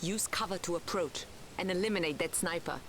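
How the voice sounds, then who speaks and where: An actress playing a determined Polish partisan commander in a military game, giving deliberate orders in a Polish accent. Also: female voice, lowish voice, fairly bright tone, close to an everyday voice. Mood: resolute, commanding. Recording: battle noise behind the voice.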